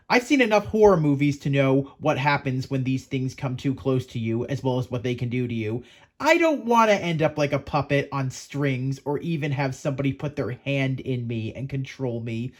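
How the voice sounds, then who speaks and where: High-pitched man hosting a fan video. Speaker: host of a Pokémon video series. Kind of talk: scripted presenter narration to fans.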